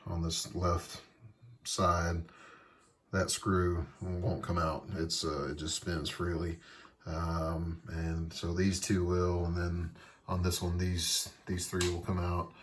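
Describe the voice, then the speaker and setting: A man giving a casual hands-on repair tutorial, explaining things in a halting, hesitant way. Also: low voice, fairly bright tone, a little hiss or hum. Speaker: repair video host.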